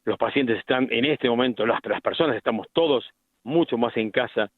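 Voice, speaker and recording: A middle-aged man with an Argentine accent, a medical specialist explaining things deliberately in a radio interview, with frequent restarts. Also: mid-range voice, no echo. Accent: Argentine Spanish. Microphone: phone line. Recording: tinny phone line, dry.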